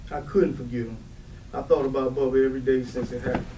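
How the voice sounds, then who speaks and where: A man's voice: mid-range voice, little pitch movement, fast talker, mellow, close to an everyday voice. A man acting a brash, protective, street-tough young brother in a staged play reading, speaking in slangy Southern vernacular. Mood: grave, remorseful. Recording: distant roomy stage recording with hiss.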